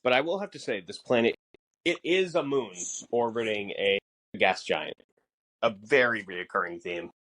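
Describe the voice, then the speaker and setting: A man's voice: lowish voice, normal speed, a bit bright, no room sound, low-quality recording. Dry-sounding man in casual podcast chat. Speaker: podcast co-host.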